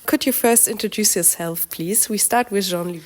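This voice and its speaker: Slightly hoarse woman hosting a podcast. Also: mid-range voice. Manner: polite, measured, careful phrasing. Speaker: podcast host and interviewer. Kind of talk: scripted podcast intro and interview questions.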